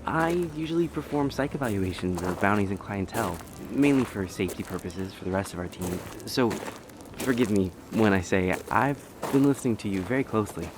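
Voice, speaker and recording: Guy acting as anxious polite doc, trips over his words, pitch all over, noisy ambience behind. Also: expressive, fairly quick, lightly acted. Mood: polite, flustered. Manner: stammering, hesitant, courteous. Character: nervous, polite, apologetic male psych-evaluation doctor. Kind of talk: fantasy audio roleplay acting. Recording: noticeable background noise and ambience.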